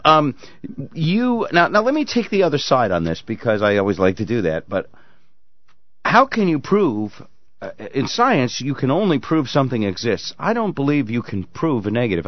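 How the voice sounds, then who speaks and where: A man's voice: mid-range voice, no room sound, muffled recording. A man hosting a morning radio talk show, asking playful, teasing questions. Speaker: radio host.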